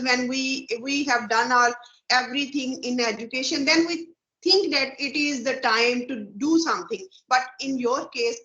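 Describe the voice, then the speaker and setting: A woman's voice: fairly high pitch, a bit bright. A woman speaking as an interview guest in a formal, encouraging, speech-like way. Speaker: guest YouTuber. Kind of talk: interview answers. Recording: muffled, roomy audio.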